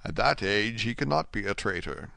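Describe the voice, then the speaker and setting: A man voicing a cautious, secretive male prisoner in an audiobook, murmuring in a measured, restrained way. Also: mid-range voice, big pitch swings, gravelly, fairly bright tone, no echo. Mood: probing.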